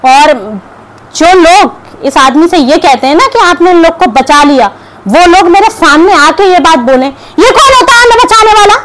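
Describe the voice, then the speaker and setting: A woman's voice: very high voice, expressive, normal speed. A young woman vlogger giving combative reaction commentary to camera, scolding and sarcastic. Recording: clear background noise.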